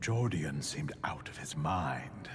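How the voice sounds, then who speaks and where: An actor playing a solemn storyteller, narrating a dark fantasy video game from a script. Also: male voice, extremely low pitch, lively intonation, slow pace, exaggerated voice. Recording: loud music under narration. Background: game soundtrack music.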